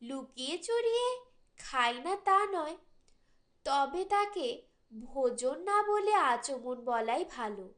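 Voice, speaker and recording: High-pitched, expressive woman narrator. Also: takes it slowly. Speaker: audiobook narrator. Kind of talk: dramatic literary short-story narration.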